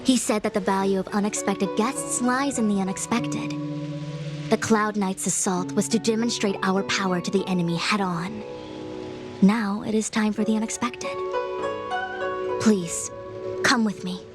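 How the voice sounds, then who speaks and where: A woman's voice: medium pitch, fairly even intonation, a little slow, natural voice. A woman playing a confident, shrewd military strategist and diviner in a game, formal and precise in her speech. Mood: explanatory. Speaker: game character voice actor. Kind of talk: scripted game dialogue. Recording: music behind the voice.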